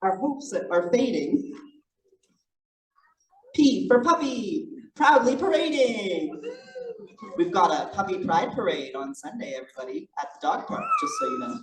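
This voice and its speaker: A person reading picture books aloud to children in an animated, encouraging way, with chatty asides. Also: medium pitch, unhurried, pauses often. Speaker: drag storytime reader. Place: echoey indoor room, library event. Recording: roomy, noisy, distant mic.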